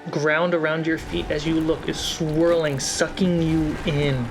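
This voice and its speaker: A game master narrates a tabletop fantasy game. The voice sits at a middle pitch, building scenes in short, halting, descriptive phrases. Soft music runs underneath. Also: level tone, rough recording.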